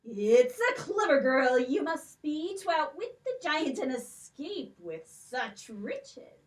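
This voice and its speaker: A woman's voice: sits high, big pitch swings, takes it slowly, far from the mic, exaggerated voice. A woman playing a curious, scheming old king in a children's puppet show, speaking stately and questioning.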